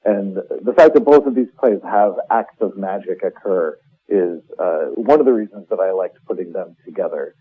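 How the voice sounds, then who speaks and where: Casting: male playwright interviewee, reflective and self-correcting, husky-edged voice over a narrow phone-like connection. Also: deep voice, moderate pace. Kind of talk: casual interview conversation. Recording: muffled phone-like line.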